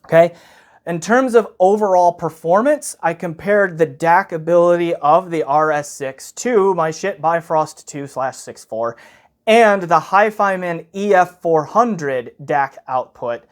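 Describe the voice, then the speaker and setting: Man, slightly high voice, gear reviewer, unscripted, explanatory, frequent "okay" check-ins. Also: a little slow.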